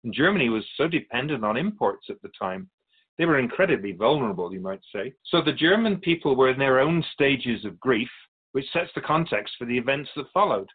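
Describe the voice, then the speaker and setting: A man plays an otherworldly keeper of souls in a radio drama. He is calm and earnest, explaining things formally and patiently in measured phrases, like a teacher. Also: mid-range voice, slightly rough, phone-quality sound. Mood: calm, instructive. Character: calm otherworldly male soul keeper, earnest storytelling spirit. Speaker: interviewee.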